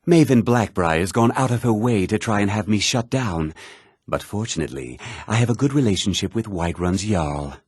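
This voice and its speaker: A man playing a self-assured, smooth-talking thief and merchant in a fantasy video game, with an expressive conversational delivery. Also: lowish voice, normal speed, no room sound, clean studio sound. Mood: haughty. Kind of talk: scripted fantasy game character dialogue.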